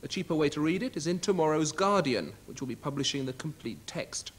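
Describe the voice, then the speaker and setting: A British man in his thirties presents to camera in a television studio. His voice sits a little high for a man, and he delivers a scripted introduction as an arts presenter. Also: lively intonation, fairly quick, slightly rough.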